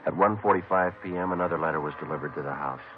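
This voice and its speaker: A man's voice: extremely low pitch, very fast. An actor with an American accent playing a terse police detective, narrating his case in clipped, matter-of-fact 1950s radio style. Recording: tinny vintage radio recording, music.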